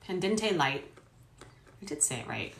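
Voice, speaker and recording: A woman's voice: deep voice, big pitch swings, unhurried, creaky, fairly bright tone. A woman hosting a dramatic transcript reading, giving casual, sardonic asides and swearing freely. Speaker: host reading a court transcript with commentary. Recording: roomy echo.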